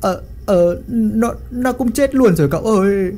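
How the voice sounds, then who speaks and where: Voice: mid-range voice, lively intonation, a little hiss or hum, pitched above the performer's own voice, lightly acted. A storyteller voicing a servile, stammering male henchman. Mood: nervous. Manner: stammering, fast.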